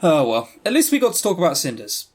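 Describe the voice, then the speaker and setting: A man's voice: a little high, huge pitch swings, fast. A man co-hosting a podcast in casual, unscripted chat, with wry, self-deprecating humour. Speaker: podcast co-host.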